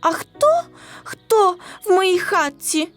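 A frightened little storybook hare, played by a woman, speaks in a tiny, squeaky, very high voice. He sounds timid and plaintive, as if close to tears. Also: very animated, slow, soft background music, exaggerated voice.

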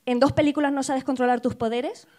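A young woman hosting a comedy podcast on a small bar stage, talking into a handheld mic with animated mock outrage. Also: high voice, a little fast. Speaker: podcast host. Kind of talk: casual comedic banter.

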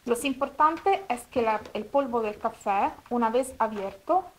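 A woman's voice: fairly high pitch, animated, darkish tone. Italian woman explaining a recipe in careful Spanish. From Italy. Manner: careful, slightly halting non-native Spanish with restarts. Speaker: cooking video host. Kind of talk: step-by-step recipe demonstration. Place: home kitchen table.

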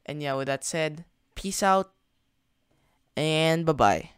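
A man's voice: on the high side, slow pace. A young man hosting a gaming channel, casually narrating his play in a chatty, slangy way, thinking aloud as he goes. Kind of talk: casual gameplay commentary.

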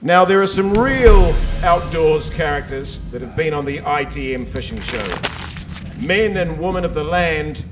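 A man's voice: a little high, expressive, measured pace, over music. A man on stage at an awards dinner, bantering and joking into a handheld microphone in a large hall. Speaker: awards show host.

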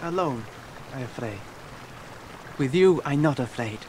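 A man's voice: mid-range voice, expressive, measured pace, darkish tone, a little hiss or hum, natural voice. An actor playing a timid, loyal South American orange-seller boy in a radio drama, speaking urgent broken English. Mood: fearful, earnest.

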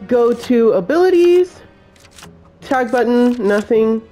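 A man's voice: very high-pitched, expressive, mellow, soft background music. A man with a cold and a congested voice, hosting a step-by-step game build tutorial as a voice-over. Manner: slow, plain instruction-giving voice-over.

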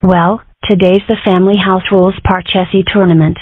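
A woman of middling pitch reads comic dialogue briskly and plainly. Her voice comes through narrow and phone-like, with a dry, close sound. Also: little pitch movement, slightly rough, no echo. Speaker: comic dub voice actor. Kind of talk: reading comic strip dialogue aloud.

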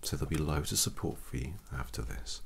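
A young British man speaking in a gentle, breathy near-whisper, reassuring and unhurried, as a doctor in an ASMR roleplay. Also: extremely low pitch, lively intonation, a little hiss or hum. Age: young adult. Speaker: ASMR performer playing a doctor. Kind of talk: soft-spoken ASMR medical roleplay.

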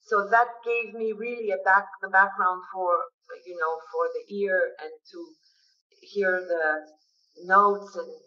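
A woman's voice: medium pitch, steady tone, slow pace, pushed and bright. A middle-aged woman from the United States, a songwriter speaking thoughtfully and hesitantly in a video call interview from her living room, laughing often. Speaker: songwriter guest. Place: bright living room at home. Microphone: laptop webcam on video call. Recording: dull lo-fi video call audio.

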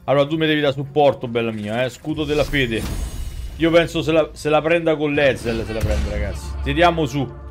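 Roman guy streaming a game, slightly high voice, chatty slangy commentary, game music behind. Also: a little fast. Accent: central Italian, Roman. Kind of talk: casual live gameplay commentary. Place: indoor room, gaming setup. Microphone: headset microphone.

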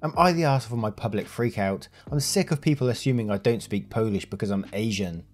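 A man reads online stories aloud in a low, even voice. He keeps a plain, steady delivery and drops in chatty asides to his listeners. Faint music plays under him. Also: fast talker. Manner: plain, steady reading with brief laughs and chatty sign-offs. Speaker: Reddit story narrator. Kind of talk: reading posts aloud with casual asides.

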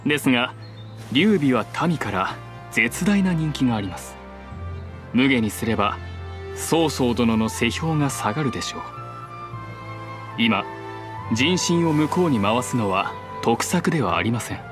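A man's voice: medium pitch, animated, a little slow, pauses often, music in the background, lightly acted. Formal, tactful young strategist played by a man. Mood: calm, advising. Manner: formal, polite, reasoned counsel. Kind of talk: Japanese video game cutscene acting.

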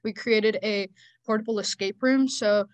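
A woman's voice: mid-range voice, fairly even intonation, measured pace, no room sound. Young woman, dry close sound. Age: young adult. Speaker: student panelist. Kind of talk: informal panel answers. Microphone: video call.

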